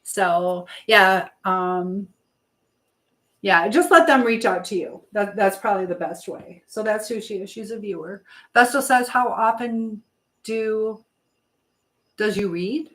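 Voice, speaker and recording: A woman's voice: medium pitch, takes it slowly, fairly bright tone, rough recording. A middle-aged woman hosts a casual live chat in a mid-range voice. She rambles along, hopping between viewers' comments and her baking. Her talk is informal and unscripted. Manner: chatting while baking. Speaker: live stream host.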